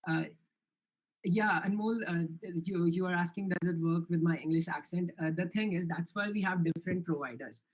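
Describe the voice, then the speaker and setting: A young man with an Indian accent presents his software project in a live online demo, answering questions earnestly with frequent restarts and fillers. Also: sits high, moderate pace, rough recording. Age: young adult.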